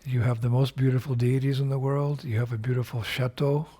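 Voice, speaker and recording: Husky-voiced older man giving a reflective message. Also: medium pitch, level tone, warm tone. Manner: unhurried, reflective, with frequent fillers and restarts. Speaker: spiritual teacher. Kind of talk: recorded video message.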